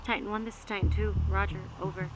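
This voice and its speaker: A woman playing a brisk, businesslike strike team operative in a tactical radio drama. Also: mid-range voice, level tone, close to an everyday voice, rough recording. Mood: focused. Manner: clipped, businesslike. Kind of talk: tense tactical radio dialogue. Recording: loud music behind radio dialogue.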